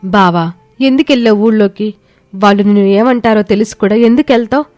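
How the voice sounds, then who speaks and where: A woman's voice: mid-range voice, normal speed, mellow, no room sound, close to an everyday voice. A woman storyteller voicing a devoted young village wife, tender, coaxing and reassuring. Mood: pleading, consoling. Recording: music bed behind the voice.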